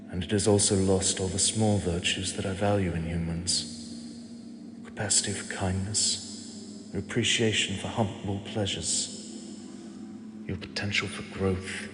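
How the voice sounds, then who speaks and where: A man voicing an ancient male god who speaks softly and slowly, fond and gently teasing, as if to a mortal he protects. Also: deep voice. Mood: stern. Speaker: voice actor. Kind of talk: audio drama monologue. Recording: echoey with long reverb and clear ambience.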